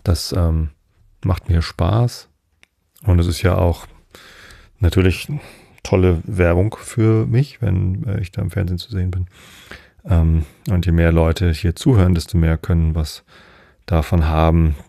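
A man's voice: low-pitched, fairly even intonation, a little slow, vocal fry, warm tone, no room sound, studio quality. A middle-aged man from Northern Germany hosting a sleep podcast, meandering through his thoughts with many fillers and digressions. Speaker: podcast host. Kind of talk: rambling sleep podcast monologue.

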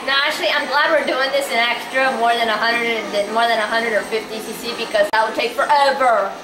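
A woman's voice: fairly high pitch, fairly quick. Chatty young woman gaming, echoey room. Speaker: let's play host. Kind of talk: casual gameplay chat. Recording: echoey room with game music.

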